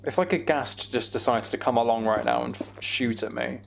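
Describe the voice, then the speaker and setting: A man's voice: medium pitch, fairly quick, vocal fry, noisy background. A British man giving casual video game commentary, thinking aloud as he plays. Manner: thinking aloud, mild swearing. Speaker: gamer narrating own play.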